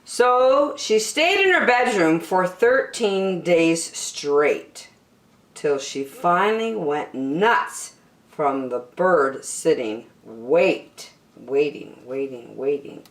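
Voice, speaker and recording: A woman reading a picture book aloud to children in an expressive way, drawing out key words for emphasis. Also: deep voice, extremely slow, roomy sound. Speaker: children's story reader.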